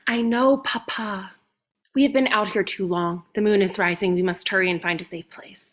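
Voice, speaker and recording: A woman's voice: medium pitch, steady tone, moderate pace, natural voice. A woman playing an anxious character hurrying a companion to safety in a comedy table read. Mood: urgent.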